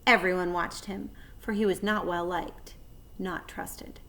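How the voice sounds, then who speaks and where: A woman narrating a children's story with deliberate, expressive phrasing. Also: on the low side, vocal fry. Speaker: read-aloud narrator.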